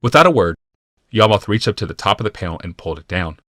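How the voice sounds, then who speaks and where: A man with a low voice narrates a fantasy audiobook. His delivery is deliberate and dramatic, clearly scripted storytelling. The sound is close and dry, without room echo. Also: big pitch swings, fairly bright tone.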